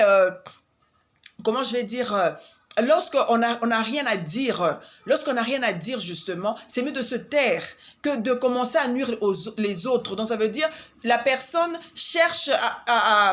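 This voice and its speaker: A woman from Congo with a Congolese French accent and Swahili as her first language, giving advice in a casual, hesitant monologue full of fillers and repeated words. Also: on the high side. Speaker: vlogger giving life advice.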